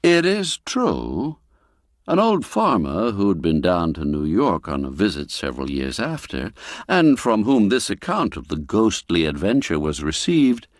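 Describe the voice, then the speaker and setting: A man reading a classic tale aloud in a measured, expressive storytelling manner. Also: deep voice, no room sound, clean studio sound. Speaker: narrator. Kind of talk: scripted storybook narration.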